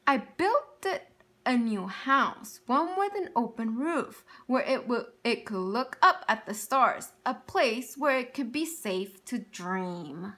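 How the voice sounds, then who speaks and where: Lively high-voiced woman reading aloud. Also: slow. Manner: expressive storytelling with questions for young listeners. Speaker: teacher. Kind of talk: reading a picture book aloud to children.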